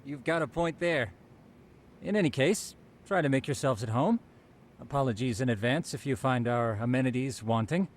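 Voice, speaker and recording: A man playing a polite, meticulous, quietly smug tech investigator in a video game dub, with formal, precise, wordy speech. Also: medium pitch, moderate pace, slight background noise, lightly acted. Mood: courteous, pleased.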